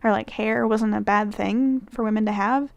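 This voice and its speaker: A woman's voice: medium pitch, steady tone, normal speed, creaky, no echo, lo-fi sound. A young woman from Michigan with a Midwestern American accent, chatting casually through a fan questionnaire, rambling with lots of fillers and self-corrections. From Michigan, United States. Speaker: vlogger.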